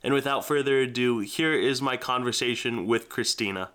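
A man's voice: medium pitch, normal speed, very noisy. A man hosting a podcast, talking eagerly and casually, laughing often and filling with "like". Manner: eager, chatty, laughs a lot, many "like"s. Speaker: podcast host.

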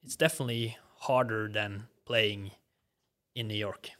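A young man from Norway with a Norwegian accent, chatting casually as a guest about playing college soccer, relaxed with easy laughter. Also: on the low side, measured pace. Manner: relaxed, laughs easily, many fillers. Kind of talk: casual chat.

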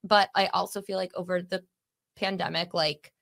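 Woman, 30s, gravelly fry, casual candid chat, self-mocking. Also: fairly low pitch, little pitch movement, moderate pace, a bit bright, dry recording, clean studio sound. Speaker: comedian guest.

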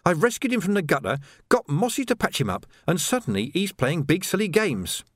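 A snappish, exasperated landlady from a storybook, pitched high, as a male narrator puts her on. Her lines come out clipped and cross. The sound is dry and close. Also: wildly swooping pitch, moderate pace, no echo. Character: sharp-tongued woman landlady.

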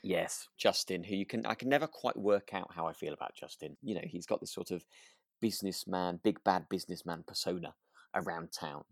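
A man chatting casually as co-host of a relaxed podcast. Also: low voice, a little fast, creaky, dry recording, clean studio sound. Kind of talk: relaxed casual chat about a radio drama.

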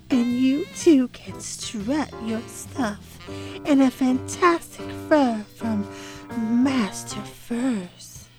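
Glamorous vintage radio diva played by a woman, high and leisurely, showy sales delivery for a 1930s commercial. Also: darkish tone, low-quality recording. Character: glamorous female star of stage and screen, selling furs.

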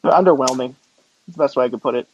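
A man's voice: medium pitch, quick pace, darkish tone, slightly rough, highs cut off. A man co-hosting a sports podcast over a remote call, giving casual, opinionated takes. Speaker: podcast co-host.

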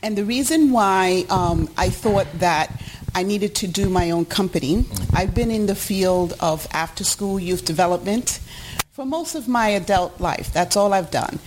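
A woman's voice: medium pitch, a little slow, a little hiss or hum, poor audio. A middle-aged woman from New York, born in Puerto Rico, giving earnest, explanatory answers as an interview guest. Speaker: guest, youth education founder.

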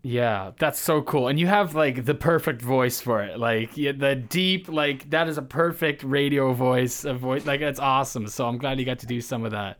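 A man's voice: mid-range voice, normal speed, few pauses, fairly bright tone. A man interviewing someone in a casual, informal chat, feeling his way through his phrasing.

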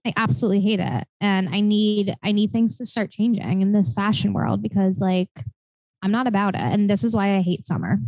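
A woman in her late twenties co-hosting a podcast, telling stories in a chatty, animated way full of "like" fillers. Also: mid-range voice, brisk, dry recording, rough recording. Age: 20s. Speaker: podcast co-host. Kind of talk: casual chat.

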